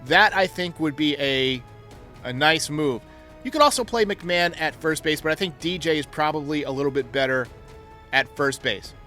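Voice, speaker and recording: Higher-pitched man giving sports commentary over music. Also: moderate pace, bright, forceful tone. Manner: opinionated, reasons aloud through options. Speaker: sports show host. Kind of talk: sports commentary, answering caller voicemails.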